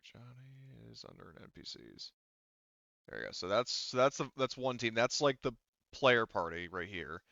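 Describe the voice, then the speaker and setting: A man acting as game master, talking casually and haltingly as he thinks aloud during a tabletop game. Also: medium pitch, very animated, creaky, fairly bright tone, no room sound, lo-fi sound.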